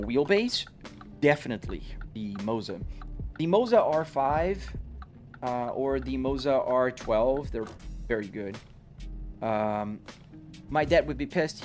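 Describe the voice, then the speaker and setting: A man in his forties chatting casually on a live stream while driving, reading out and answering viewers' questions about his gear. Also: mid-range voice. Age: 40s. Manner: casual, talks to chat, explains his setup.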